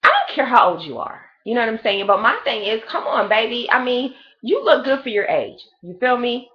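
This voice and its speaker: A woman's voice: on the high side, big pitch swings, a little fast, muffled recording. A woman in her late thirties giving a blunt, profane, rambling gossip review of a reality show in a casual chat. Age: 30s.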